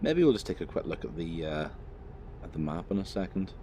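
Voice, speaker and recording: Northern irish guy, low voice but jumps all over the place, rambly and sweary while he plays. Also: sing-song, a little fast, warm tone, a little hiss or hum. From Northern Ireland. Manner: rambling, chatty, swears freely, laughs at himself. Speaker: gaming channel host. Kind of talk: casual gameplay commentary.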